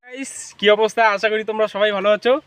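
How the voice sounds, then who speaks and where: Fast-talking young Bengali man, noisy recording. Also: high voice, steady tone. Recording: noisy home-made recording.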